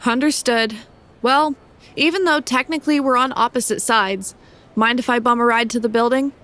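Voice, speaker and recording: A woman's voice: fairly high pitch, moderate pace, a bit bright, a little hiss or hum, lightly acted. An actress playing a wrestler's fiancée who teases her partner in a light tone. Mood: teasing. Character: wrestler's fiancée, teasing young woman. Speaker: fellow wrestler on the rival brand.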